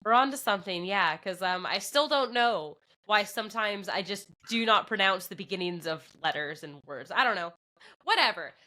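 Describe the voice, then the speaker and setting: A woman from Canada with an English Canadian accent, co-hosting a podcast in chatty, animated storytelling. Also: mid-range voice, moderate pace. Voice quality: drops P sounds at the start of words. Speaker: podcast co-host. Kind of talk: casual chat.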